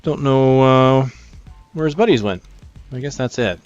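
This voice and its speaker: A man's voice: mid-range voice, unhurried, a little mellow, lo-fi sound. A man giving casual gameplay commentary as a video host, informal and thinking aloud. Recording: faint game music behind. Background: game music.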